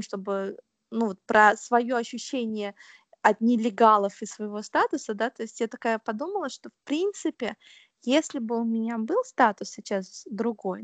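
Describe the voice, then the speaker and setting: Hesitant woman with a gravelly voice. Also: a little high, no echo. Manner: thoughtful, hesitant, many fillers. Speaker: interview guest. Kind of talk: casual conversational interview.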